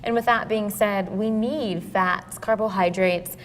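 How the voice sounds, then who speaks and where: A woman nutritionist explains food choices clearly and plainly. Her voice rings with long hall reverb. Faint noise hums in the background. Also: medium pitch, fairly even intonation, a little slow. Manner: clear, instructive. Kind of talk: explanatory interview answers.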